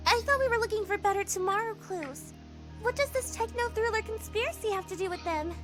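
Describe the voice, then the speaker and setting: A young woman with an extremely high, girlish voice. She is earnest, excitable and naive but brave, an expressive visual novel heroine heard over faint music. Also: a little fast. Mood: alarmed, insistent.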